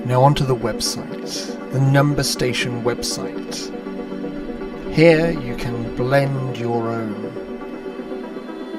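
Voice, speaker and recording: A man presenting an online radio livestream in a measured way, walking listeners through a demonstration. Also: mid-range voice, distant mic. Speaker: online radio station host. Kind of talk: calm livestream presentation with website demo. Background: ambient music.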